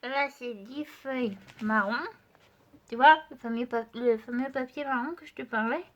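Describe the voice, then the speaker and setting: High-voiced woman with a cold. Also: moderate pace, fairly bright tone, highs cut off. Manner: chatty, addresses viewer directly, frequent fillers. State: stuffy voice from a cold. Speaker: art channel host. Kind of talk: casual craft haul and paper test.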